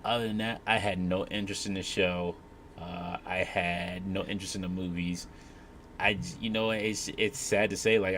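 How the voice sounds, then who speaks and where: A man's voice: low voice, a bit bright. A man giving his opinion casually as a panelist in a discussion. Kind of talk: casual chat. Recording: faint ambient background noise.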